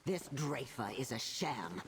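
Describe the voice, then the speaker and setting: A menacing druid zealot woman in a fantasy game. She declaims imperiously and slowly, her pitch swinging widely. Clear background ambience surrounds the voice. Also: big pitch swings, slight background noise, exaggerated voice. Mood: accusing. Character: fanatical, menacing female archdruid zealot. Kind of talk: video game dialogue acting.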